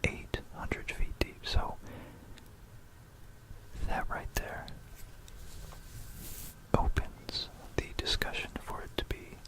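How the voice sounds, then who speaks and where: Very slow, hushed ASMR whisperer. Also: rough recording. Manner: hushed, informal, musing, self-correcting. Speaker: ASMR video creator. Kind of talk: whispered ASMR history talk. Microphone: close stereo binaural microphone.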